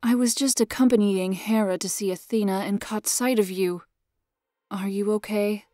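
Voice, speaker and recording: A woman voicing a gentle, apologetic goddess queen with a soothing, concerned manner in an audio drama. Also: medium pitch, fairly even intonation, normal speed, no echo, studio quality, lightly acted.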